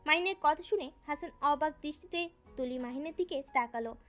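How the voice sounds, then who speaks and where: High-pitched woman narrating a romantic story. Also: brisk, a bit bright, soft background music.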